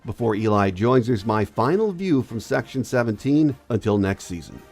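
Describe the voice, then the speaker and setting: Low-voiced man hosting, congested with a cold. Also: lively intonation, normal speed, a little mellow, soft background music. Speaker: podcast host. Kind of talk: scripted sports commentary.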